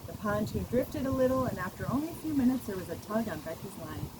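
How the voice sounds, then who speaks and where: Woman reading a kids story out loud, lively and amused, lots of noise in the background. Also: mid-range voice, fast. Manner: expressive, amused. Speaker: children's author reading own book. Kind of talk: storybook read-aloud.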